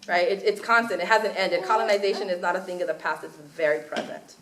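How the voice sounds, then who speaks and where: A woman's voice: fairly low pitch, quick pace, a crowd in the background. A woman from Los Angeles answering as a panelist, speaking earnestly and emphatically with frequent ums. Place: conference hall. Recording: echoey hall with clear noise.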